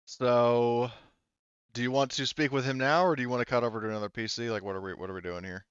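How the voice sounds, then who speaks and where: A man's voice: mid-range voice, steady tone, fast talker, clear, resonant tone, no room sound, dull audio. A man speaking as game master, narrating a tabletop roleplaying game in a conversational way.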